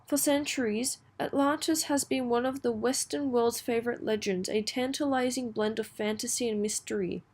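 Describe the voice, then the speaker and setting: A woman giving a scripted read-aloud as an exam practice narrator, measured and carefully enunciated. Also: on the high side, fairly even intonation, a little mellow.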